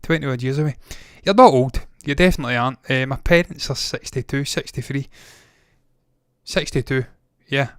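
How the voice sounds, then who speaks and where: A man's voice: medium pitch, moderate pace, clean tone, dry recording, clean studio sound. A man in his thirties hosting a movie news show, talking casually, with rambling opinionated asides. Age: 30s.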